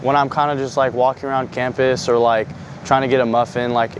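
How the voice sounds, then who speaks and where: A man's voice: mid-range voice, little pitch movement, a little fast, lo-fi sound. A teenage boy, a student, chatting casually and peppering his speech with "like". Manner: casual, filler-heavy. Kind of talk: interview. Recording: outdoor interview with clear ambient noise.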